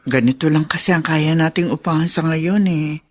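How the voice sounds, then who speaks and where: A woman's voice: sits low, moderate pace, a little mellow. A woman playing a patient, practical wife in a radio drama, urging her husband along, with a Filipino accent. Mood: gentle, hopeful. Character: patient, hopeful, practical wife of a despairing man.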